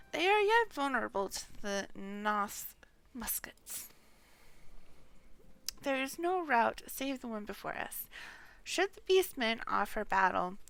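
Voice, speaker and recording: A woman's voice: fairly high pitch, fairly bright tone, heavily acted. A woman voicing a grave, resolute noble lady warrior from a fantasy game, with formal, archaic and stately diction. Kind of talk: fantasy game dialogue read aloud.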